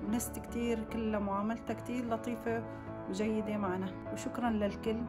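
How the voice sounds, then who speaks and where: Very fast Levantine Arabic woman. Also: a little high, little pitch movement, over loud music. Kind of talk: on-camera testimonial. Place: plain indoor room.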